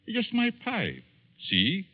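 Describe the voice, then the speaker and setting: An actor playing a scheming, murderous mad professor who taunts and mocks in old-time radio thriller style. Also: male voice, high-pitched, wildly swooping pitch, takes it slowly, husky, heavily acted. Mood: mocking, triumphant. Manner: taunting, mocking, given to cackling laughter. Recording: dull, band-limited old radio recording.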